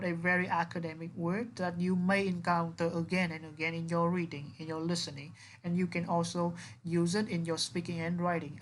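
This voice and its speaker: A woman's voice: fairly low pitch, a little hiss or hum. A woman English teacher giving an explanatory vocabulary lesson, instructive, often repeating words and rephrasing them with synonyms.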